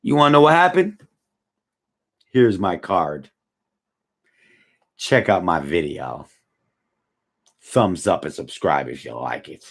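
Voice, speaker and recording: Slangy tired man in his forties, husky. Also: sits low, takes it slowly, pauses often. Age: 40s. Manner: laid-back slangy, drifts from mumbling to excited. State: tired, yawning. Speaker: live-stream vlogger. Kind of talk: casual live chat reading comments.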